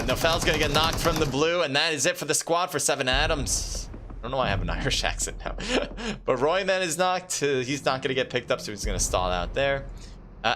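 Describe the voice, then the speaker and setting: A man casting a live mobile battle royale match as play-by-play commentator, talking casually with fillers and jumping up in pitch when fights break out. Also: noisy background. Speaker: esports caster. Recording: game gunfire clearly underneath. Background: game gunfire and clicks.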